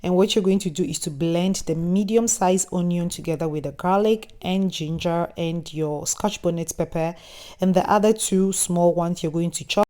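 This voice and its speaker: A woman's voice: on the low side, mellow. A young woman with a Ghanaian accent, hosting a cooking tutorial in a friendly, instructive voice-over with chatty asides. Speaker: cooking channel host.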